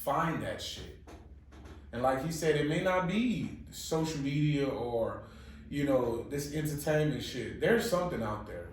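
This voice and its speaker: A man's voice: a little high, lively intonation, measured pace, distant mic, a little hiss or hum. Blunt New man in echoey room. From New Orleans, Louisiana. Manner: profane, emphatic, motivational. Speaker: podcast co-host. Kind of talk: casual chat, giving blunt advice.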